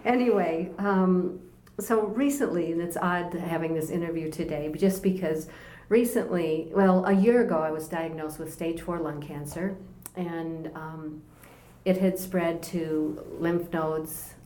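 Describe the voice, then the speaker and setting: A woman in her sixties, a guest on an interview show, sharing her personal story in a gentle, reflective way and laughing easily. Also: lowish voice, unhurried. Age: 60s. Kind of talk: personal testimony in conversation. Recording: echoey room.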